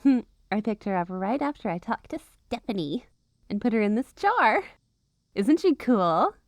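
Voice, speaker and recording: A woman's voice: a little high, sing-song, a little slow, dry recording. A woman playing a possessive, menacing witch girlfriend in an ASMR roleplay, cooing and teasing with mock-innocent sweetness and veiled threats. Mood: playful menace.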